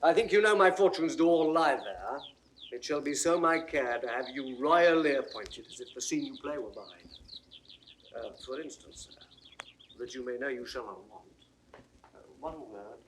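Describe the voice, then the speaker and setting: An actor playing a loyal, earnest lord counselling a prince, speaking in measured, persuasive, declamatory classical stage style. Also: male voice, medium pitch, lively intonation, slight background noise, close to an everyday voice. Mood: reassuring. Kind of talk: classical Shakespearean stage acting, 1960s audio recording.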